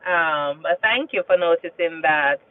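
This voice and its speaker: A woman's voice: lowish voice, a little slow, dry recording. A woman from Jamaica with a Jamaican accent, chatting casually on a livestream, telling stories and often repeating herself. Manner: chatty and storytelling, repeats phrases and asks "you understand?". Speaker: nurse and livestream host. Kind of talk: casual livestream chat reading viewer comments. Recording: tinny phone-like sound.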